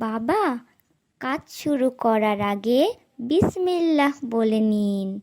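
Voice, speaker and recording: A very high, slow-paced little girl played by a woman speaks in a children's moral cartoon. She is gentle, devout and honest, placing each phrase with polite, earnest care. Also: expressive. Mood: helpful, sweet. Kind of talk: Islamic moral cartoon voice acting.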